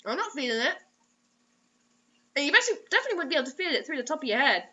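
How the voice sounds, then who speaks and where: Young woman, high-pitched, sharp bright tone, overwrought mocking read-aloud, dull lo-fi webcam sound. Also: big pitch swings, rapid-fire. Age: young adult. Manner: theatrical, overwrought. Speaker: co-host reading bad fiction aloud. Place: small indoor room.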